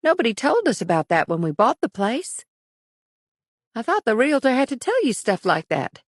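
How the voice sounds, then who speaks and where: A man's voice: very high voice, huge pitch swings, no room sound, pitched above the performer's own voice, full character voice. A male narrator voicing a weary young mother of about thirty with a dry, frazzled way of talking. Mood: wry, weary. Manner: dry, frazzled, quick to chatter.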